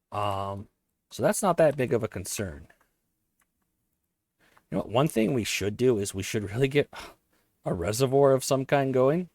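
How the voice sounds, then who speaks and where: A man thinking aloud while he plays a strategy game, his voice a little hoarse, often correcting himself as he goes. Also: mid-range voice, a little mellow, no room sound. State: voice slightly hoarse. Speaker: let's play host. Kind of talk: casual gameplay commentary.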